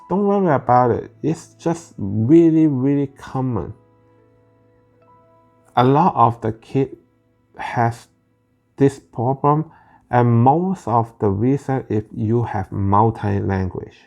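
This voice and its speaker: Slow, gravelly man telling a family story. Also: medium pitch, darkish tone. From Northern California Bay Area. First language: Cantonese. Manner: halting, frequent "like" and restarts, plain non-native phrasing. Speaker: parent vlogger. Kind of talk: casual personal storytelling. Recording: faint guitar music behind.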